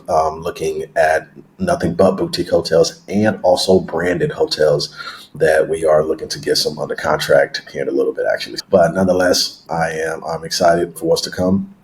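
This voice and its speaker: A deep, flat-voiced man in his thirties. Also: poor audio. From Nigeria, raised in Houston, Texas. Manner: relaxed, rambling, with self-corrections and filler words. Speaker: guest, real estate investor. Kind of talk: casual podcast storytelling.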